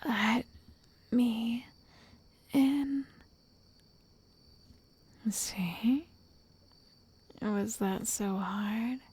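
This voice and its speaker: A woman voicing an obsessive, lovestruck witch in an ASMR roleplay, soft and whispery, coaxing with possessive endearments. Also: fairly high pitch, extremely slow, pauses often, husky, heavily acted. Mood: insistent, then soothing, commanding.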